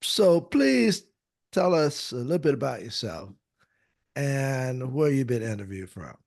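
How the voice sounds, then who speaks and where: A male podcast host who speaks very slowly and hesitates often, with frequent fillers and restarts. His voice sits at an ordinary middle pitch, recorded close and dry with no room sound. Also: sing-song, gravelly, darkish tone, no room sound. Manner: slow, hesitant, many fillers. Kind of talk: podcast interview.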